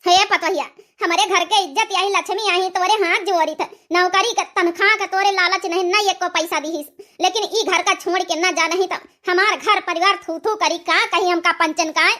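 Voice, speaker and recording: A woman's voice: very high voice, fairly even intonation, moderate pace, sharp tone. A woman voicing an old, honour-minded village mother-in-law in a comic cartoon, with a plaintive, dramatic manner and an Awadhi accent. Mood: tearful pleading.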